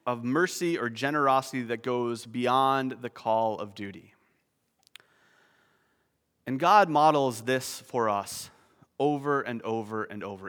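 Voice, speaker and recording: A man's voice: medium pitch, a bit bright, in a large hall. A man preaching a sermon, explaining a word's meaning with nerdy, self-deprecating asides. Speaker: pastor.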